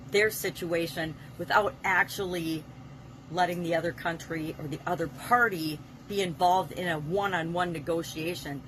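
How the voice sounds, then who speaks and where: A woman with a fairly low voice speaks as the host of an informal business advice talk. She explains her points emphatically, leaning on key words. Clear, vehicle-like ambient noise runs behind her. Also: a bit bright, rough recording. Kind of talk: informal explanatory monologue to an audience.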